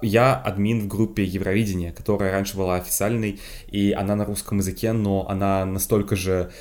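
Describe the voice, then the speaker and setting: A young man from Moscow co-hosting a podcast in casual chat, talking quickly and breaking into laughs. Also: sits low. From Moscow, Russia. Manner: quick, lively, laughs often, restarts. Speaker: podcast co-host.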